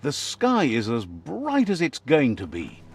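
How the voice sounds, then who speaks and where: A man's voice: medium pitch, wildly swooping pitch, unhurried, gravelly, faint music behind. A man giving scripted narration for a video game, a wry storyteller who relays what the characters say.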